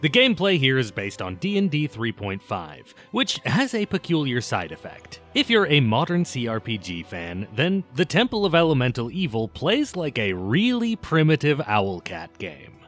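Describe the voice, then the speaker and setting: A man's voice: medium pitch, huge pitch swings, moderate pace, few pauses, a bit bright, soft background music. A man narrating a scripted video essay in an informal, opinionated way, with casual asides.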